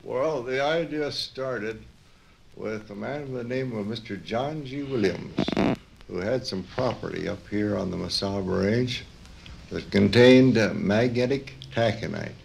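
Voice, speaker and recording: An older American man, a retired professor, reminiscing in a halting, deliberate, plain-spoken way in a radio interview. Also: fairly low pitch, vocal fry, noisy background.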